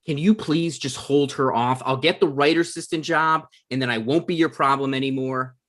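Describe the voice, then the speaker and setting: Exasperated, sarcastic young writer type, read by a man, deadpan, argumentative, medium pitch, comedy. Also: normal speed, lightly acted. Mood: pleading, defensive. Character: Ryan, sarcastic, exasperated adult son and aspiring TV writer. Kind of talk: comedy script table read.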